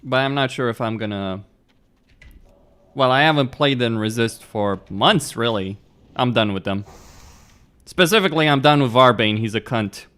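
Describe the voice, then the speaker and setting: A man's voice: medium pitch, expressive, normal speed, fairly bright tone, clear, resonant tone. A young man from Romania, streaming a game and chatting bluntly with viewers, laughing often and swearing freely. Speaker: gaming streamer. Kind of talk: casual live-stream chat, answering viewers.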